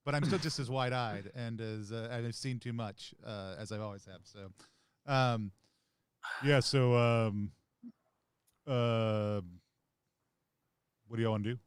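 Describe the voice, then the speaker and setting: A man's voice: mid-range voice, slow pace, pauses often, clear, resonant tone, dry recording. A man playing a tabletop game, talking casually and hesitantly with many fillers and jokes. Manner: hesitant, jokey, full of fillers. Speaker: player.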